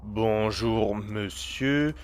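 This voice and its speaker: A man's voice: on the low side, extremely slow, clear, resonant tone. A man playing a level-headed underworld agent in a French audio drama, with a dry, colloquial, slightly exasperated manner. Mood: formal reporting.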